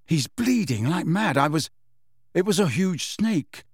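A man's voice: high voice, big pitch swings, unhurried, creaky, slightly rough, dry recording, studio quality, voice pushed up, full character voice. A man reading an audiobook, voicing a scared teenage boy who is desperate and furious, urgent and insistent. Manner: urgent, insistent, rising to shouts.

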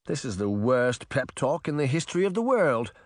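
A man's voice: medium pitch, big pitch swings, normal speed, no room sound, clean studio sound, voice pushed up. A man voicing a grouchy, arrogant fairy-tale prince in a storybook, growling with indignation and self-pity. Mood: sulky.

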